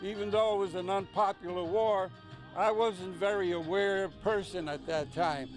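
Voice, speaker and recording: A man's voice: high voice, steady tone, moderate pace, soft background music. An older man from Puerto Rico, a Vietnam veteran, answering interview questions in a proud, plainspoken way.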